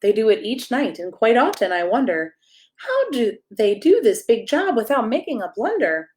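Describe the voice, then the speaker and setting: A woman's voice: on the high side, big pitch swings, moderate pace, a little mellow. Woman reading a children's bedtime story aloud. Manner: playful, addressing young listeners directly with questions. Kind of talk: expressive read-aloud of a rhyming children's picture book.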